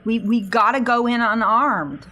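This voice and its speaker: A woman's voice: fairly high pitch, unhurried, sharp tone. A woman playing a tabletop role-playing game, speaking casually and bantering in character.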